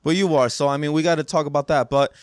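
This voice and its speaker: A man's voice: a little high, steady tone, quick pace, clear, resonant tone, dry recording, clean studio sound. A man hosting a casual podcast interview, joking loosely and often repeating himself as he talks. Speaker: podcast host.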